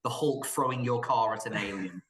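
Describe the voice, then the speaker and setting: A man giving opinions in a casual film discussion, animated and joking, sometimes slipping into mock quotes. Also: medium pitch, moderate pace. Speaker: podcast panelist reviewing films.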